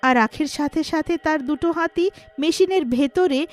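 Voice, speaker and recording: A woman's voice: sits high, moderate pace, faint music behind. A woman working as a story narrator, reading a scripted moral tale.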